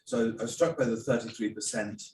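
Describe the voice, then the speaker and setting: A man's voice: medium pitch, fairly even intonation, a little fast, slight background noise. A man in the audience asking a long question from the floor, with many ums and hesitations. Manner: hesitant, many ums, quiet until asked to speak up. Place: conference hall, in the audience. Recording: echoey hall, voice from far off.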